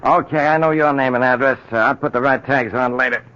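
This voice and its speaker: A man playing a gruff, plain-spoken delivery man, in 1940s radio acting style on a noisy old radio recording. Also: medium pitch, fairly even intonation, brisk, pushed and bright, muffled recording, close to an everyday voice. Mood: businesslike. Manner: blunt, plain-spoken, hesitant "uh"s. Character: gruff, blunt working-class delivery man.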